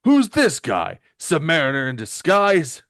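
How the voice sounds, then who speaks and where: A man acts out a tough, streetwise superhero from a comic. He is loud and incredulous, his pitch jumping upward, in a dry close recording. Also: huge pitch swings, a little slow, dry recording, heavily acted. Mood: exasperated.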